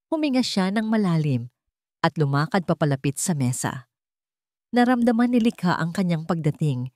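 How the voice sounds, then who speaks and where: A woman's voice: fairly low pitch, darkish tone, no room sound, clean studio sound. A woman with a Filipino accent reading a dramatic story as a scripted narration.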